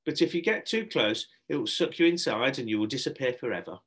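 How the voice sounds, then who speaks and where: A man's voice: medium pitch, expressive, fairly quick, a bit bright, slightly rough. A man voicing an exasperated woman who entertains at children's parties, firm, insistent and easily flustered, in a storybook reading. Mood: bright, instructive.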